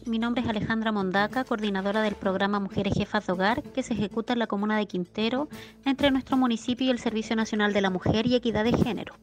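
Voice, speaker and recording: A woman's voice: mid-range voice, steady tone, fast. A woman with a Chilean accent giving a prepared informational statement as a programme coordinator. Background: faint music.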